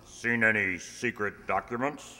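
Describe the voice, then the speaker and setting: An actor playing a stuffy, suspicious army general with a clipped, pompous manner in a radio play. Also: male voice, on the low side, measured pace, bright, forceful tone. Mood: suspicious, stern. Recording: echoey hall with long reverb.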